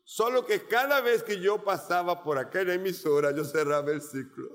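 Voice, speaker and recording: A man with a Portuguese accent, a Portuguese native speaker preaching a sermon as a missionary, speaking in slow, deliberate phrases with measured pauses. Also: sits high, slight background noise. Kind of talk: sermon with personal testimony. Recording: long reverb of a large hall.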